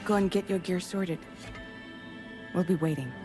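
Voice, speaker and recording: A woman acting in a video game as a composed, dry-humoured team leader, speaking in a calm, matter-of-fact way. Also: fairly low pitch, moderate pace, over music, natural voice.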